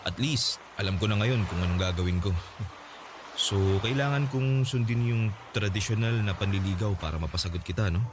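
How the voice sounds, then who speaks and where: A man's voice: on the low side, moderate pace, background noise, lightly acted. A man with a Filipino accent playing a patient, sincere young suitor in a Tagalog radio drama, speaking in a conversational way. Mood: sincere, eager.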